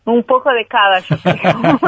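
A woman's voice: a little high, very animated, slow pace, a bit bright, breathy edge, dry recording. A woman with a Brazilian Portuguese accent, a native Portuguese speaker and guest filmmaker, speaking Spanish hesitantly. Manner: hesitant, laughs often. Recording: tinny phone line.

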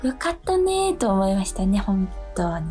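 A woman's voice: mid-range voice, big pitch swings, moderate pace, mellow. A woman co-hosting a casual radio chat, agreeable and appreciative in how she responds. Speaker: radio show co-host and actress. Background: background music.

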